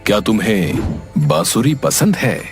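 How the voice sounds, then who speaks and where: A calm, mysterious holy wanderer from a cartoon folk tale, voiced by a man. He speaks slowly and solemnly with widely varying pitch, over faint music. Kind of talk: Hindi animated story dub.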